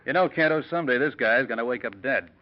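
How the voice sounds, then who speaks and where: An actor playing a wry, blunt bush pilot in a 1940s radio drama. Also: male voice, medium pitch, animated, fast talker, natural voice. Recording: dull old radio recording, a bit off mic.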